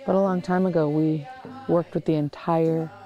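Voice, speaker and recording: A woman's voice: deep voice, steady tone, moderate pace, highs cut off. A woman speaking in a documentary interview. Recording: music bed under the voice.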